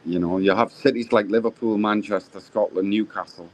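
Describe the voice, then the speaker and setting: A man's voice: fairly low pitch, steady tone, a little fast, darkish tone. A British man chatting casually on a live stream, easygoing, often trailing off and restarting his sentences. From Britain. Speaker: stream guest.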